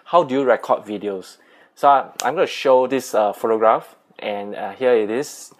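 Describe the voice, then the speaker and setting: A young man from Singapore with a Singaporean English accent, hosting a casual question-and-answer video from a small room at home, speaking plainly through an earphone mic with lots of uh and um fillers. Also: medium pitch. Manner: plain and unhurried, with frequent uh and um fillers. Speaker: video host. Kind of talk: casual Q&A vlog.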